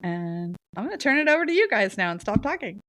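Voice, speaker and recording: A woman's voice: medium pitch, very animated, quick pace, a bit bright, no room sound, clean studio sound. A woman co-hosting a podcast in casual chat, her voice stuffy and nasal from a sinus cold, talking in a chatty, rambling way with frequent laughs. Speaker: podcast co-host.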